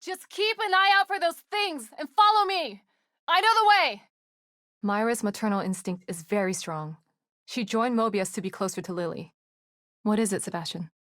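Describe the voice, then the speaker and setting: An actress playing a composed, clinical and earnest woman psychologist in a horror game, with precise, measured wording. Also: on the high side, animated, fairly bright tone, dry recording, clean studio sound. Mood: urgent, shouting. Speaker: video game voice actor. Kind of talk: scripted game dialogue acting.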